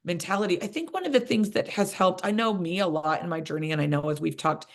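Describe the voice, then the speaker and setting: A woman's voice: fairly low pitch, quick pace. A woman hosting a conversational panel podcast, speaking as a coach and affirming what her guests say. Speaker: podcast host and business coach.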